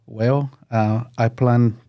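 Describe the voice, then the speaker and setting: A man in his fifties from Mexico, a native Spanish speaker with a Mexican accent, speaking as a guest in a casual interview and often pausing to search for words in English. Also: mid-range voice, fairly even intonation, muffled recording.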